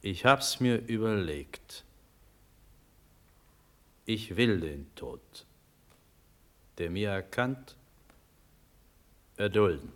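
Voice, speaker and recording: An actor playing a young, nobly resolute prince in a classical radio drama, declaiming solemnly in measured verse. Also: male voice, on the low side, expressive, a bit bright, full character voice. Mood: quiet, resigned.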